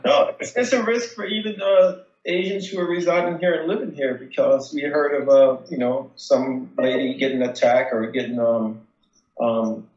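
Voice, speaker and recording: An American man giving a casual phone interview as a local resident, halting as he searches for words. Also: medium pitch, steady tone, a little mellow. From United States. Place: remote phone call. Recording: dull, echoey phone call.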